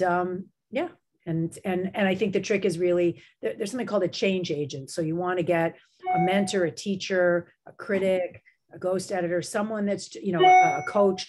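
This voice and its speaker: A middle-aged woman speaks in a fairly low voice, her throat slightly sore. She chats eagerly and loosely, full of fillers and restarts, the way a professor sounds on a video call. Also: a little mellow, a little hiss or hum. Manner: chatty, enthusiastic, lots of fillers and restarts. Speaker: guest author and writing professor. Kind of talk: casual video-call interview.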